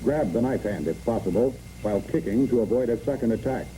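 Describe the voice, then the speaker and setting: A man's voice: medium pitch, a little fast, mellow, slight background noise. A man reads scripted instructional narration for a training film in clipped, imperative phrases, on a muffled old film recording.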